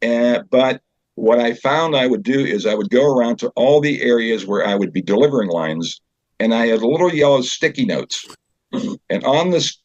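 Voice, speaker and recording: An older man chatting as an interview guest, telling anecdotes in a halting, conversational storyteller's way. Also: medium pitch, no echo. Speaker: guest, community theater director and actor. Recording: slightly dull, dry recording.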